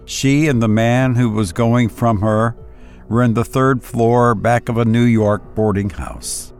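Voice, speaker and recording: A man speaking as a podcast host and story reader, with measured, deliberate phrasing, close on a voice-over mic. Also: mid-range voice, fairly even intonation, darkish tone, soft background music. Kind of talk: podcast introduction and audiobook-style narration.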